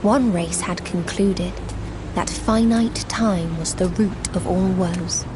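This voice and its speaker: An actress playing a mournful, otherworldly being who speaks for many in a video game, in solemn, declamatory, archaic language. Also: female voice, lowish voice, slow pace, noisy background. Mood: grave reporting. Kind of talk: video game cutscene monologue, formal archaic narration.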